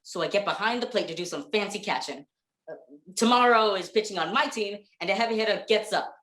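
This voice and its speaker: A woman's voice: medium pitch, fairly quick, fairly bright tone, echoey room, natural voice, poor audio. A woman playing an exasperated, bewildered comic sidekick in a 1940s radio comedy routine, stammering and insistent in her questions. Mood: frustrated.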